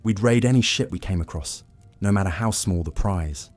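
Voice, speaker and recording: A man voicing a grizzled, world-weary old pirate with a rough, raspy voice, telling grim tales in sailor dialect for a story. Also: low-pitched, moderate pace, a little mellow, faint music behind. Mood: intense, grim.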